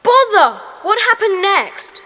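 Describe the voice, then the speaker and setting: A woman's voice: very high voice, big pitch swings, takes it slowly, pushed and bright, in a large hall, exaggerated voice. A woman playing a confident schoolboy who leads a children's club in an audio drama. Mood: concerned.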